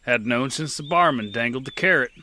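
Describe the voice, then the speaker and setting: A man's voice: medium pitch, a little fast, pushed and bright, slight background noise. A man narrating and playing a rugged, weary gunslinger in an audio drama, with a dry, terse delivery. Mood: steady. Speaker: narrator and lead.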